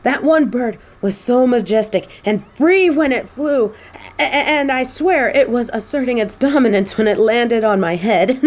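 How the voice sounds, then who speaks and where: A woman playing a snobby but secretly soft fallen angel in a scripted audio roleplay, with prim, formal phrasing. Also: a little high, lively intonation, measured pace. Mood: awed, chatty.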